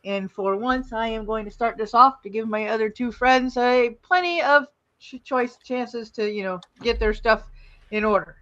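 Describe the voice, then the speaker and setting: A woman's voice: a little high, fairly bright tone, muffled recording. A woman hosting a live show in a casual, informal, rambling style. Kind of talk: casual livestream hosting and plugging.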